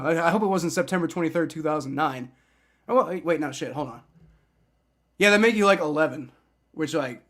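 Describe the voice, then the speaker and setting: A young man hosting a live sports fan stream, shouting and cheering with a voice strained from yelling, swearing freely. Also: a little high. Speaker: sports fan livestream host. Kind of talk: excited live fan reaction.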